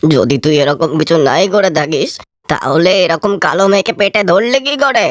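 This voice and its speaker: Cartoon father, played by a woman: low, dry-recorded, harsh and accusing. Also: animated, moderate pace, no echo. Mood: scornful, angry. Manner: accusing, contemptuous. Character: harsh, scornful village father rejecting his dark-skinned daughter. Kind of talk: Bengali moral-story cartoon voice acting.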